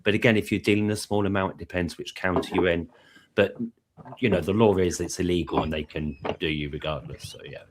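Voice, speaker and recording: Deep-voiced man, calm explainer. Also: background noise. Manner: measured, explanatory, hedging with "you know". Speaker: panel participant. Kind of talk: casual live panel chat.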